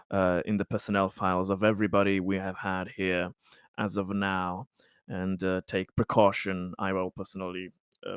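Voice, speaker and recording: A man playing a tabletop roleplaying game, improvising his character's dialogue in a deliberate, halting and somewhat formal manner. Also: deep voice, clear, resonant tone, dry recording, clean studio sound.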